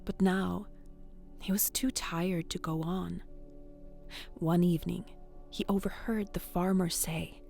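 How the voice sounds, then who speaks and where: A woman hosting a bedtime story, guiding relaxation in a soft, soothing, often whispered voice. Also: fairly low pitch, steady tone, slow pace, gravelly, long pauses, soft background music. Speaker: storyteller and host.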